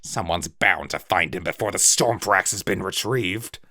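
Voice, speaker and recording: A man voicing a scheming male villain who stays icily calm and hisses his threats. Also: sits low, normal speed, no echo, studio quality. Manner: icily calm, hissing threats, then screaming denials. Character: sly cold male villain, spectacled mutineer.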